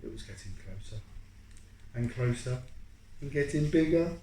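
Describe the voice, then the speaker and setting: A parent reading a picture book aloud to a young child in an expressive, dramatic, coaxing way, often pausing to ask questions. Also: medium pitch. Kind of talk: animated read-aloud storytime with questions to a child. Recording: echoey room with background noise.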